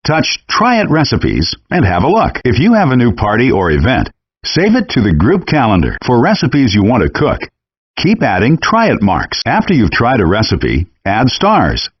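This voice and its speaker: Friendly game chef guide, super lively up and down delivery, encouraging, scripted cooking instructions, dull lo-fi game audio. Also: male voice, medium pitch, moderate pace, slightly rough, dry recording. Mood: patient, instructive.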